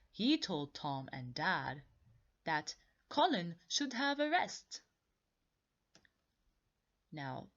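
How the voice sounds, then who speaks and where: A woman reading a children's story aloud in an expressive, deliberate way, repeating and explaining things simply for young listeners. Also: lowish voice, lo-fi sound.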